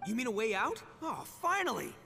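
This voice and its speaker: An actor playing a brash, easygoing warrior in a video game, with a casual, breezy manner. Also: male voice, very high-pitched, very animated, brisk, creaky, sharp tone, faint music behind, full character voice. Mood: eager.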